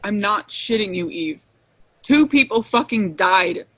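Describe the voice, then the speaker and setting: Shaky, slow-spoken young woman prisoner, acted by a woman. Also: mid-range voice, lively intonation, fairly bright tone, dry recording. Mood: angry, defiant. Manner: halting, shaky delivery with bursts of anger. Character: distraught young jailed woman, lonely, shaken, pleading. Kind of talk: audio play acting over online stage reading.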